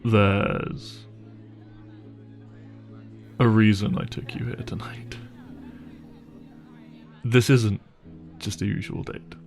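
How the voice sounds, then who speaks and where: Slow, low-voiced gentle boyfriend played by a man. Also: fairly even intonation, vocal fry, faint music behind, exaggerated voice. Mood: tender, serious. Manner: gentle, hesitant, apologetic, reassuring. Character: wealthy, caring young boyfriend confessing his secret. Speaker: audio roleplay performer. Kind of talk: intimate boyfriend audio roleplay.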